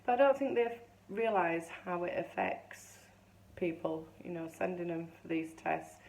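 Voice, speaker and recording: Low gravelly woman in her fifties, slightly hoarse. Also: unhurried, darkish tone, rough recording. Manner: rambling, chatty storytelling with frequent restarts. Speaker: vlogger. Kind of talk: casual chatty vlog.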